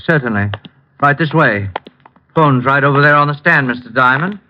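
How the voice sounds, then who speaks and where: A man's voice: mid-range voice, moderate pace, a little hiss or hum, natural voice. A man playing a composed, evasive suspect husband. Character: smooth, evasive husband under suspicion. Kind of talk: 1950s radio drama acting. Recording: dull lo-fi old radio broadcast.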